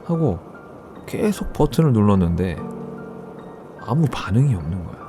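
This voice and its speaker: Low-voiced man telling a ghost story. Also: very animated, normal speed. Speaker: horror radio narrator. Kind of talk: first-person ghost story narration in casual spoken Korean. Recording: background music under the voice. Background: music.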